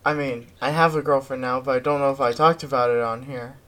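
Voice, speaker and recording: A man co-hosting a podcast, chatting casually about pop culture in a halting way with drawn-out words. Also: medium pitch, fairly even intonation, a bit bright. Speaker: podcast co-host.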